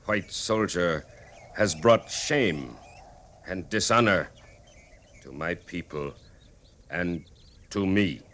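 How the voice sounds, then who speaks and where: A man playing a proud, aggrieved war chief in an old-time radio drama, speaking stately, halting, broken English. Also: sits low, animated, fairly bright tone, exaggerated voice. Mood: solemn, aggrieved. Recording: old radio recording, faint noise.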